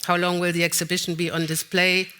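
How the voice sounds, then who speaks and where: Bright-voiced woman giving a keynote. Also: lowish voice, level tone, smooth. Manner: slightly halting, with frequent "uh" fillers. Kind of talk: lecture read partly from notes. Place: conference hall, at a lectern.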